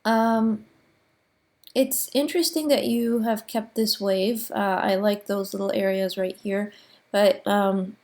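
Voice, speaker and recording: Woman teacher giving gentle design critiques. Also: medium pitch, slow pace. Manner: relaxed, frequent ums and uhs, gently corrective. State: allergies, sniffling. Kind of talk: recorded class critique.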